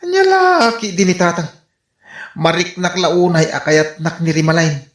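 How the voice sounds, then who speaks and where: A man with an Ilocano accent acting an excitable, pleading man in a rural comedy radio drama. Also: high voice, moderate pace, echoey room. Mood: pleading, earnest.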